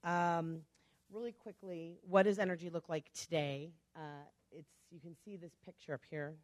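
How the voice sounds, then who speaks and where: A woman originally from Chicago giving a chatty, fast-paced talk to a club audience, with joking asides. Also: lowish voice, fairly even intonation, no echo. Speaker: guest speaker.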